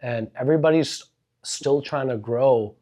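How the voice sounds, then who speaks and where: A man's voice: medium pitch, unhurried, dark tone. Slangy American man in casual conversation. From born in Karachi, Pakistan, grew up in South Florida. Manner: slangy, says man, bro and dude often. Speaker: podcast guest, entrepreneur.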